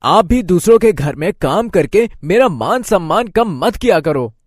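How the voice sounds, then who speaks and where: A man's voice: sits high, sing-song, unhurried, no echo, pitched above the performer's own voice, heavily acted. A boastful young village layabout from a cartoon moral tale, voiced by a man. He speaks in a raised, exclaiming voice that sounds whiny and self-important. The naive son is heard close and dry. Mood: boastful, indignant. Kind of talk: cartoon moral story voice acting.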